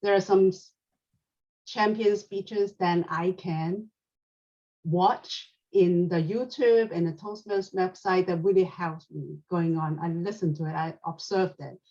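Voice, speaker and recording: A woman whose first language is Chinese speaks in an earnest, slightly halting way. Her voice comes from some distance away from the mic. The sound is dull and muffled. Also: on the low side, steady tone, dark tone. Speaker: panel speaker. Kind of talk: prepared talk at a club panel.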